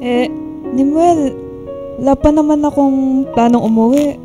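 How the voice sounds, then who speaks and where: A woman's voice: high-pitched, steady tone, a little slow. A woman playing a sweet, playful young woman in love in a Tagalog radio drama. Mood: sweet bashful. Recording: clear guitar music underneath.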